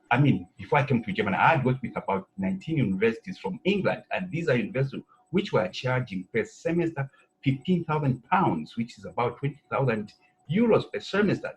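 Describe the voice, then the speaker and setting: A man's voice: on the low side, slight background noise, poor audio. A middle-aged man from Zambia answering interview questions at length, full of fillers and asides. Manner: rambling, many fillers and "you know". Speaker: president of an education institute.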